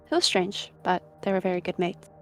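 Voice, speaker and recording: A woman's voice: fairly low pitch, expressive, fairly quick, fairly bright tone. A woman voicing a friendly, chatty young female clan cat in a roleplay, earnest and a little hesitant. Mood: reflective. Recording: music behind the voice.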